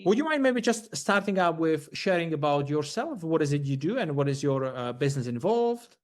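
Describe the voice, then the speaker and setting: A man's voice: fairly high pitch, very animated, moderate pace. Rambling male podcast host asking questions. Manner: rambling questions with many restarts. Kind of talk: interview questions.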